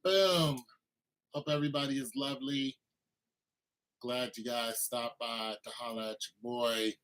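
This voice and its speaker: Bright-voiced man in his fifties. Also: mid-range voice, fairly even intonation, measured pace, faint music behind, low-quality recording. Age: 50s. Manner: laid-back, rambling, talks to viewers. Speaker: livestream host. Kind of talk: casual livestream chat.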